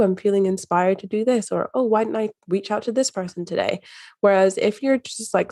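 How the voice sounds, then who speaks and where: A woman with a faint, neutral Scottish accent speaks as an interview guest, explaining her ideas in a measured, coaching way. Her voice sits a little low. The sound is close and dry. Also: creaky, darkish tone, no room sound. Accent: soft, hard-to-place Scottish. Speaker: mindset coach, podcast guest.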